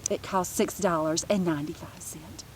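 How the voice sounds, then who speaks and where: A woman's voice: fairly low pitch, fairly even intonation, normal speed, close to an everyday voice. Woman as a storybook rich schoolgirl, boastful, precise, showing off, faint noise.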